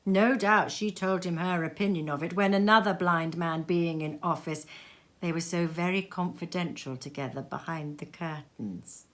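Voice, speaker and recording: A woman narrating a storybook expressively, with dramatic, deliberate emphasis. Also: fairly low pitch, a bit bright. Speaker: storybook reader.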